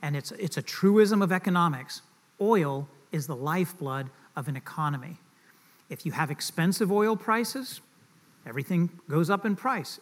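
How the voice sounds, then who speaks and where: Joking, conversational man preaching a sermon. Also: on the high side. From Southern United States. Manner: conversational and joking, asks the audience questions. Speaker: pastor.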